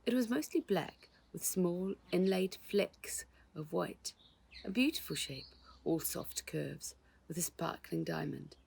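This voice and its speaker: A woman narrates a children's story in a low voice. It has a husky grain and a creaky edge as she reads the scripted prose. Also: takes it slowly, darkish tone. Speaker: author reading own children's book.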